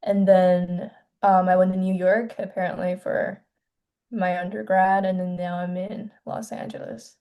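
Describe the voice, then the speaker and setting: Soft-spoken young woman from China. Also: on the low side, little pitch movement. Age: 20s. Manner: soft-spoken, hesitant, many pauses and restarts. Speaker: filmmaker guest. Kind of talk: casual interview.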